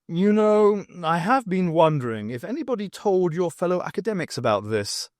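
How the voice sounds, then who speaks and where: A man voicing a calm, middle-aged starship captain in an audiobook, measured and matter-of-fact. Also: high-pitched, no echo, clean studio sound, voice pushed up. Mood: reassuring.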